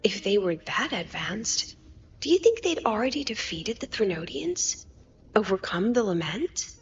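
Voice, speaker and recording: Casting: gentle, brooding female scientist in a game, tired and breathy, slightly muffled sound. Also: medium pitch, very animated, a little slow, slight background noise. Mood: pensive. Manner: subdued, pensive. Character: weary, gentle, brooding woman scientist and professor. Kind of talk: video game voice acting. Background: game music.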